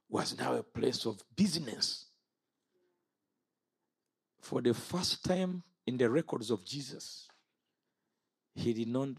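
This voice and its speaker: A man in his fifties, originally from Uganda, preaching a fiery sermon. His voice is gravelly and a little husky. He swoops between low, steady statements and sudden high, forceful outbursts. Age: 50s. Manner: impassioned, rises and falls sharply.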